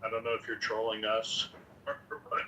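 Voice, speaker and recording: A man's voice: on the low side, monotone, moderate pace, sharp tone, dull audio. An American man in casual discussion, restating his points and inviting the other person to share. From United States. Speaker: discussion participant. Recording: slightly distant mic, faint noise.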